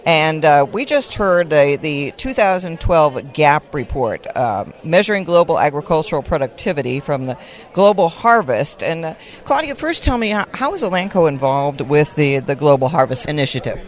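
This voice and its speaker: A woman interviewing a guest at a conference, often pausing and repeating words as she frames her questions. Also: low voice, big pitch swings. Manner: hesitant, repeats words while framing questions.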